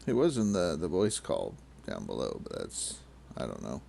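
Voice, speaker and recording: A man's voice: rumbling low, huge pitch swings, normal speed, gravelly, mellow, a little hiss or hum. A man in a casual voice chat, making dry, teasing remarks.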